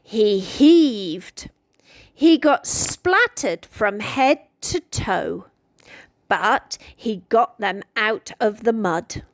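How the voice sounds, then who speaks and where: A woman with a British accent reading a children's picture book aloud in an expressive, deliberate storytelling manner. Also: mid-range voice, no room sound.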